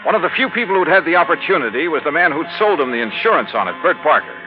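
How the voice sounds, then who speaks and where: An American man playing a brisk, inquisitive insurance investigator who also narrates, in 1950s radio drama style. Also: on the high side, big pitch swings, music in the background. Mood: narrating. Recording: muffled, noisy old radio recording.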